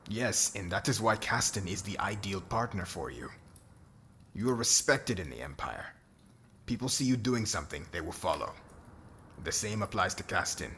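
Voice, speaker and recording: A man playing a formal, earnest royal diplomat in an ASMR roleplay, speaking in a measured, diplomatic way. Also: sits low, bright, forceful tone, slight background noise, full character voice.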